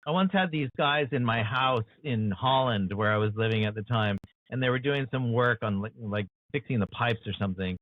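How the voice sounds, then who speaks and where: A man's voice: medium pitch, moderate pace, a little hiss or hum. American man on a tinny call line. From United States. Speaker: author guest. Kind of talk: earnest interview discussion. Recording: dry, tinny phone-like call audio.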